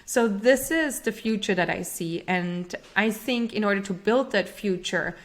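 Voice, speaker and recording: A woman's voice: mid-range voice, a little slow. Austrian-born woman, earnest startup founder. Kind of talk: interview answers.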